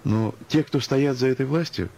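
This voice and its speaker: A man's voice: medium pitch, moderate pace, creaky, dark tone, slight background noise. A man speaking as a guest in a radio interview, musing and ironic, arguing through anecdotes and examples. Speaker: interview guest, music professional.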